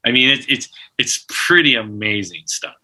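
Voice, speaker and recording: A man's voice: mid-range voice, animated, normal speed, bright, forceful tone, low-quality recording. A man guest on a podcast, telling stories in a rambling way with many restarts and fillers. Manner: laughs while telling stories. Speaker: musician guest. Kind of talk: casual podcast conversation.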